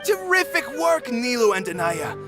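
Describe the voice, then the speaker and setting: High, lively game ally. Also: male voice, moderate pace, over music. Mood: pleased. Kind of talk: video game voice acting.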